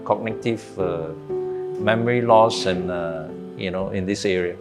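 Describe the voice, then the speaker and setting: A man's voice: low-pitched, steady tone, measured pace. Older man, hesitant interviewer. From Singapore. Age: 60s. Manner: hesitant, many "uh" fillers. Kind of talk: casual interview. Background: guitar music.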